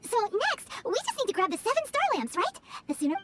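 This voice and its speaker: A woman voicing a small, chatty, excitable fairy girl with a squeaky childlike voice in a video game. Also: quick pace, very noisy. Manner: speaks of self in third person, exclamatory. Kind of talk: video game character acting.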